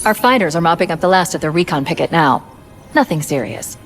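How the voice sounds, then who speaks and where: A woman's voice: on the low side, expressive, a little fast. A woman playing a wry, self-assured ship's artificial intelligence in a video game, cool and knowing as she briefs. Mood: cool urgency. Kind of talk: video game cinematic dialogue. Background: music.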